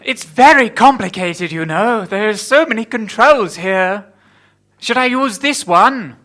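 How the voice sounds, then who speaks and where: A man's voice: high voice, animated, slow, pushed and bright, exaggerated voice. A young man playing a dreamy, dithering and apologetic female train controller in an improvised comic radio play. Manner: dithering, easily distracted.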